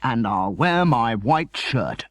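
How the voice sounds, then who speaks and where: A man voicing an excitable, self-absorbed storybook father, jubilant and breathy, drawing out his words. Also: medium pitch, slow, dry recording, full character voice.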